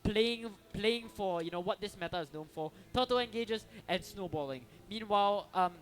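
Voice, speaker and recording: A man casting an esports match, energetic and chatty, restarting sentences and tossing in "you know". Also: sits high, moderate pace. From Singapore. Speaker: esports caster. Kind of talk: esports play-by-play commentary. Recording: commentary over loud game music.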